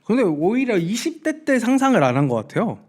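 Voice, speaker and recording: A man in his thirties co-hosting a podcast, chatting casually and telling stories with animated, shifting delivery. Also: high-pitched, a little fast. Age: 30s. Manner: animated, storytelling, frequent agreeing hums. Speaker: podcast co-host. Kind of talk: casual chat.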